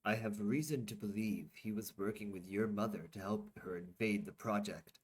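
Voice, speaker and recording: A man's voice: on the low side, normal speed, a little hiss or hum, close to an everyday voice. A man doing an earnest military colonel. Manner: plain, steady, close to the reader's own voice.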